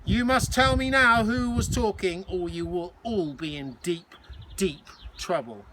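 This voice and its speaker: A man's voice: high-pitched, a little slow, sharp tone, a little hiss or hum, voice pushed up. A man voicing a strict, cruel, bossy matron in a children's storybook, scolding and demanding.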